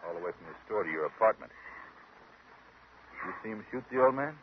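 An actor playing a gruff, matter-of-fact police officer with an American accent in a 1950s radio thriller. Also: male voice, on the low side, expressive, brisk, natural voice. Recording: muffled, noisy 1950s radio recording.

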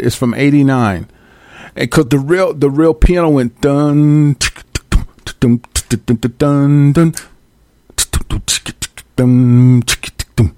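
A man's voice: mid-range voice, a little mellow, no room sound. A man hosting a radio show, chatting casually about making a song, playful and loose, mimicking drum beats and swearing freely. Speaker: radio host and music producer.

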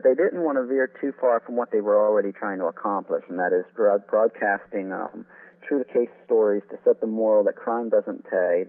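A man with a fairly low voice speaks over a thin, phone-like line. He answers as an interview guest and expert, laying out his explanations in wordy, winding detail. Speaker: author and radio historian, interview guest.

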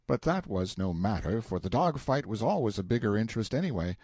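A man with a low, mellow voice narrates classic fiction in a measured storytelling style. His intonation rises and falls widely, and the recording is close and dry. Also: very animated, slightly rough, no room sound. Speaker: audiobook narrator. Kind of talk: scripted literary narration of a novel.

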